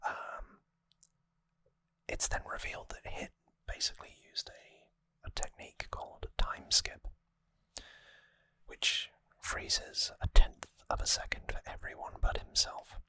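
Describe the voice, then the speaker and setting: An ASMR host whispering an explanatory recap in a hushed, unhurried manner, close to the microphone.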